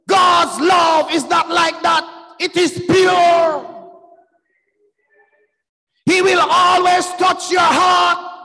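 A man preaches in a slow, shouted, half-sung cadence. His voice sits unusually high and carries a gravelly fry at its edges. It rings out in a long hall reverb over faint music. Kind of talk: fervent shouted sermon.

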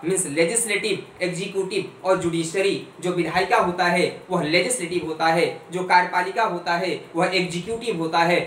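A man's voice: sits high, pushed and bright, distant mic, slight background noise. A young man teaching an exam-prep lesson at a whiteboard, repeating key points and asking rhetorical questions, calling his viewers dear friends. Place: small room in front of a whiteboard. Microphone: camera mic a few steps away. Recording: echoey room, voice from off-mic.

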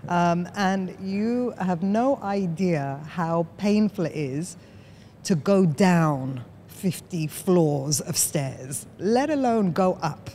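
A woman hosting a podcast interview, chatty and quick to laugh, often filling with "like", on a boom-arm studio mic in an office lounge. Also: expressive, mellow, a little hiss or hum. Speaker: podcast host. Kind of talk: conversational interview.

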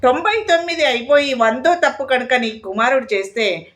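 Woman storyteller as divine nephew, firm, reassuring, protective, high voice, epic tale. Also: animated, moderate pace, fairly bright tone.

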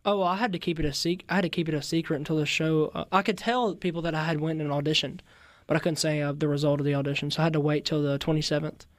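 Teen male singer, raspy, slightly high, dry studio sound, shy hesitant answers. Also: fairly even intonation, dry recording. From Mississippi-Louisiana border. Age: teens. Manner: polite, halting, many fillers. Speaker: interview guest, singer. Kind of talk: casual interview. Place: radio studio. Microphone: studio mic with headphones.

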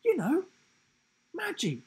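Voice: very high voice, huge pitch swings, takes it slowly, darkish tone, pitched above the performer's own voice, heavily acted. A storyteller voicing a goofy, joking male giraffe who teases cheerfully in a storybook reading. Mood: eager. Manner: cheerful, teasing, playful. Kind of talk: storybook character voice.

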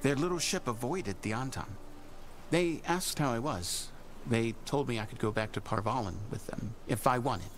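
An actor playing a gentle, thoughtful young horned man in a video game, speaking in a measured, poetic way. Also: medium pitch, faint music behind, close to an everyday voice. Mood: earnest.

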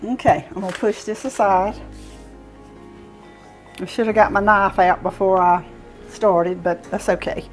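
Home cooking host: woman, sixties, Southern US accent, mid-range pitch, informal step-by-step delivery on a lapel mic. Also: steady tone, measured pace, pauses often, soft background music. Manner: folksy, explaining step by step while working. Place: home kitchen, indoors. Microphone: clip-on lapel mic.

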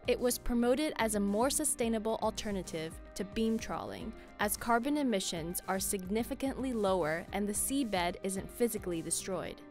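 A woman reading a scripted explainer as narrator, in a measured way. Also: medium pitch, animated, a little mellow. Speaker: narrator and presenter. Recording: music bed under voice. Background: music.

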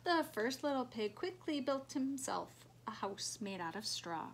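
A middle-aged woman reading a children's storybook aloud like a preschool teacher, expressive and emphatic, recorded in a room at home. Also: fairly high pitch, a little slow, a little hiss or hum. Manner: expressive, emphatic, simple phrasing for small children. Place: small living room, indoors.

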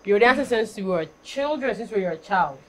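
A woman's voice: mid-range voice, lively intonation, brisk, slight background noise, low-quality recording. A young woman hosting a casual interview. She asks questions playfully, teases her guests, and laughs often. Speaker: host. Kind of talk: interview host. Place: decorated studio set.

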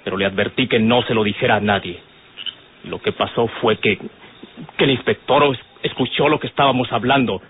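A man's voice: medium pitch, fairly quick, lightly acted. An actor playing an earnest, respectful young man with a Mexican accent, explaining himself politely and carefully in an old radio drama. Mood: earnest, defensive. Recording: noisy, narrow-band old radio recording.

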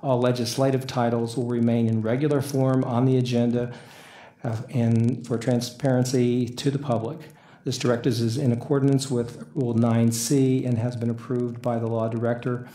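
An older man with an American accent, chairing a formal council meeting in a halting, procedural way. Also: medium pitch, flat delivery, warm tone. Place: council meeting room, indoors. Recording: echoey council chamber.

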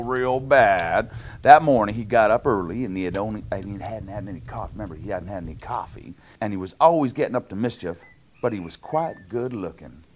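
A man's voice: on the low side, voice pushed down. Guy doing a jolly canadian lumberjack reading a kids book, voice swooping all over, bit of background noise. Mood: playful, folksy. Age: middle-aged. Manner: playful, exaggerated, sing-song rhythms with quiet chuckled asides. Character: jolly, folksy lumberjack storyteller reading to children. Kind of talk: animated children's picture book reading. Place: small indoor cabin-style set.

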